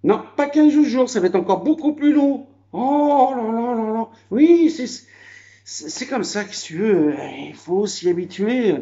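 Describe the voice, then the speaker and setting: A man's voice: very high voice, expressive, a little slow, dark tone, dull audio. A man from France chatting casually at his country home on a phone video, rambling with many fillers. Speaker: vlogger. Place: house in the countryside.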